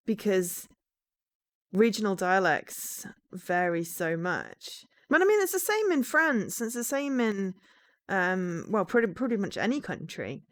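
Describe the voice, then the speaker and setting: A woman's voice: mid-range voice, no room sound. Young english woman streaming a game, pretty neutral accent, bouncy up-and-down voice, dry close sound, laughs a lot. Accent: fairly neutral English. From England, East Midlands. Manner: chatty, laughs often, playfully defensive. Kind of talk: casual live-stream chat while playing a game.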